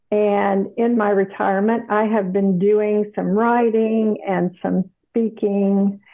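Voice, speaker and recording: An older woman speaks slowly and deliberately, her voice carrying a gravelly edge. She tells her story in a measured, conversational way, breaking into light laughs. The sound comes through a thin, phone-like line. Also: mid-range voice. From South Carolina, USA. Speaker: guest, retired doctor. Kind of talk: casual interview chat.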